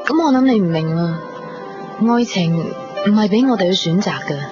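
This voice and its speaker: A woman playing a sensible, gentle young friend with a Hong Kong accent, understated, in a 1990s radio drama. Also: medium pitch, animated, moderate pace, close to an everyday voice. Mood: earnest. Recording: muffled 1990s radio broadcast under music.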